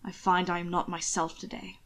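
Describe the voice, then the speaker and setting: A woman's voice: fairly low pitch, level tone, brisk, gravelly, pitched below the performer's own voice. A woman voicing a proud, irritable young aristocrat with clipped, sardonic formality, in an audiobook reading. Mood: stiffly apologetic. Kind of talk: amateur audiobook character reading.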